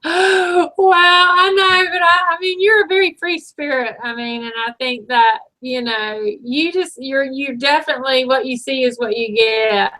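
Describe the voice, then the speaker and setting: Host: woman, high and bright voice, encouraging, laughs with the guest. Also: steady tone, a little slow, few pauses, poor audio. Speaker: interview host. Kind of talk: live interview.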